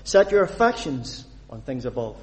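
Lively preacher from Northern Ireland. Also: male voice, mid-range voice, moderate pace. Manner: animated, lively audience questions, reads scripture aloud. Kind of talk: sermon. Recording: long hall reverb.

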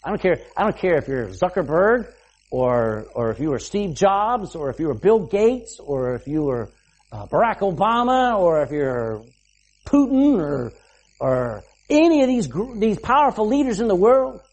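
Animated, husky-voiced preacher. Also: medium pitch, normal speed. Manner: emphatic, rhetorical questions, frequent "amen". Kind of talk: sermon.

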